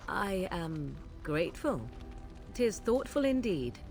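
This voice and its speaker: A young witch of the wilds for a fantasy game. She is haughty and sardonic, speaking in archaic, formal turns of phrase. Her female voice sits fairly low and moves with expressive, swooping intonation. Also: takes it slowly, gravelly, faint music behind, exaggerated voice. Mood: cool, faintly amused. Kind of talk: video game character acting.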